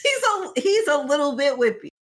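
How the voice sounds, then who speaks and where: A woman's voice: sits high, big pitch swings, normal speed. A woman podcast co-host chatting casually and comically as she retells a story, slangy, sweary and giggly, acting out dialogue. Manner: acts out characters' lines in mock dialogue.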